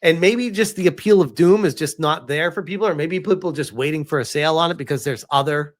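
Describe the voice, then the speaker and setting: Incredulous man doing casual gaming commentary. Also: a little high. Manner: incredulous, informal, halting emphatic delivery with rhetorical questions. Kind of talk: casual livestream commentary reacting to gaming news.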